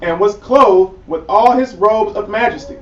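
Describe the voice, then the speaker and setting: A man reading scripture aloud in a study group, steady and plain. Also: sits high, unhurried. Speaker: scripture reader. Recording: echoey, distant lo-fi room recording.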